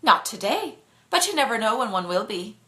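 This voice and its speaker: A mischievous teenage lad played by a woman from a storybook, breezy and boastful behind the wheel. The voice is bright and forward, with a teasing swagger. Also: a little high, sing-song, moderate pace, pitched above the performer's own voice. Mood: breezy, cocky. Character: cocky, mischievous teenage Irish boy cab driver. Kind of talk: bedtime storybook reading.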